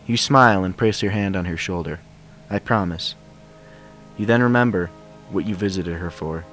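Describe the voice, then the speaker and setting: A man's voice: low voice, fairly quick, fairly bright tone. A man reading a scripted fan fiction story as its narrator. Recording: soundtrack music behind the voice. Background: game soundtrack music.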